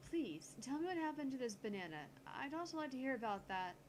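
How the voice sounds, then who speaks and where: A woman voicing a sharp-tongued, easily indignant young female genius scientist in a visual novel, curt and sarcastic. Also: sits high, big pitch swings, a little fast. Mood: composed, miffed. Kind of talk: visual novel character reading. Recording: noticeable background noise on home mic.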